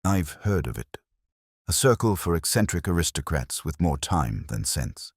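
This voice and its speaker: A man voicing a tired, evasive gentleman solicitor in an audiobook, reluctant, clipped and formal. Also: a bass voice, big pitch swings, moderate pace, slightly rough, no echo, studio quality, exaggerated voice. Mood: curt. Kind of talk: audiobook character dialogue.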